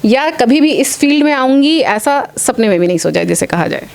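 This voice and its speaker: A woman's voice: high voice, lively intonation, moderate pace, creaky, a little mellow. A woman in her late forties answering interview questions earnestly, mixing English phrases into her speech. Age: late 40s. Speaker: interview guest, athlete and former air force officer.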